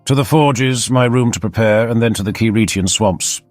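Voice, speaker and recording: A man's voice: mid-range voice, moderate pace, no room sound, soft background music, natural voice. A man voicing a proud, blunt young warrior lord with formal, curt fantasy dialogue. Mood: matter-of-fact. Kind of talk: fantasy audiobook character dialogue.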